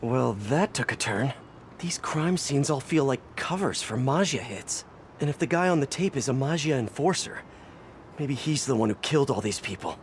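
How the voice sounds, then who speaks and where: A man's voice: mid-range voice, animated, moderate pace, slight background noise, lightly acted. An actor playing a young, quippy superhero investigator with an American accent, earnest and wry as he thinks aloud in a video game. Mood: thoughtful. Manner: conversational, wry, muses to himself. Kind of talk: video game voice acting, monologue.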